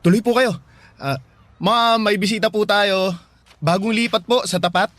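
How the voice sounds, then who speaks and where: Courteous young filipino neighbor, highish voice, welcoming, radio drama style. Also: male voice, normal speed, a bit bright. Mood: polite. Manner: courteous, deferential.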